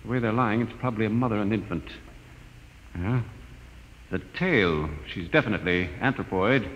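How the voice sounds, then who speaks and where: An actor playing an earnest, wondering scientist aboard a spaceship in an old science fiction radio drama. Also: male voice, fairly low pitch, expressive, moderate pace, a bit bright. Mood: awed fascination. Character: earnest, awestruck male scientist, spaceship's technical expert. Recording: echoing, dull old radio recording.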